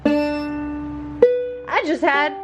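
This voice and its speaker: Tiny grumpy storybook bird done by a lady reading, high voice, indignant, ukulele loud behind. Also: drawn-out words, loud music behind the voice. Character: small, very angry bird in a picture book.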